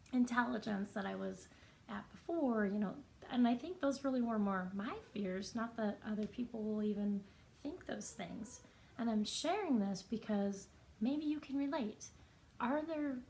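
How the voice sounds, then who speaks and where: Soft, raspy woman vlogger. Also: fairly high pitch, a little hiss or hum. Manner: earnest, reflective, unhurried with pauses. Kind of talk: personal vlog, sincere reflection.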